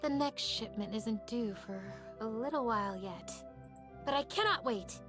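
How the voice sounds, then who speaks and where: Lilting, genteel young princess. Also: female voice, on the high side, animated, unhurried, over music. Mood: fretting. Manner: genteel, old-fashioned diction, talking to herself. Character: gentle exiled young princess living alone, dreamy and proper. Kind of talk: visual novel voice acting.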